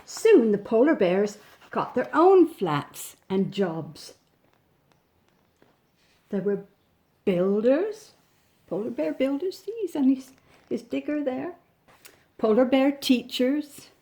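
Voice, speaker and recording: Woman, children's story reading, lively intonation, leisurely delivery, gentle, chatty picture-pointing. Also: mid-range voice. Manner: gentle, animated, pointing out pictures with questions to the listener. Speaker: storybook reader. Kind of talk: expressive picture-book reading for young children, with chatty asides.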